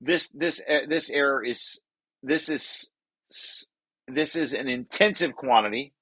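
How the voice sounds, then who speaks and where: A man reading aloud from a technical paper and explaining it informally, halting and correcting himself as he repeats words. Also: mid-range voice, bright, forceful tone, breathy edge, dry recording. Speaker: presenter and paper author.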